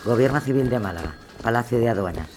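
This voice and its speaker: A man's voice: medium pitch, lively intonation, quick pace, a little mellow, faint music behind, close to an everyday voice. A man narrating in a formal, scripted way, setting the scene for an audio drama.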